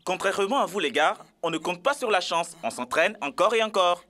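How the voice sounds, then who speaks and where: A woman's voice: fairly low pitch, expressive, a little fast, a bit bright, faint music behind. A woman voicing a hostile, cocky rival in a children's cartoon, confrontational in manner. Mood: scornful. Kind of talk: children's educational cartoon dubbing.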